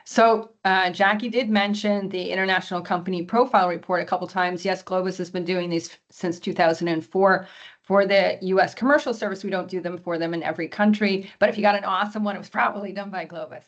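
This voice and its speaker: Woman, mid pitch, losing her voice; webinar presenter on a video call, throat clearing, lots of ums. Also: fairly even intonation, a little fast, muffled recording. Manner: frequent throat clearing, many fillers. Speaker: export presenter.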